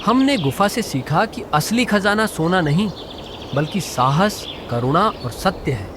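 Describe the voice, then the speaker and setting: A man's voice: high-pitched, animated, unhurried, voice pushed up. A man voicing eager, curious village children in a moral story. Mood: proud. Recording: clearly noisy background.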